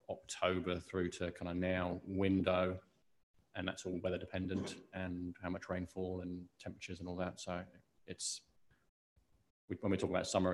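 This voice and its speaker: A man with a New Zealand accent, a council officer explaining plans at a meeting in a measured way. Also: low voice, vocal fry. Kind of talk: answering questions in a meeting.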